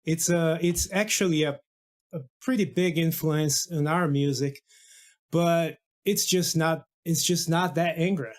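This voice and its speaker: A man's voice: on the high side, fairly even intonation, unhurried. A man from Brazil, a guest musician in a casual podcast interview, talking enthusiastically with many restarts and fillers.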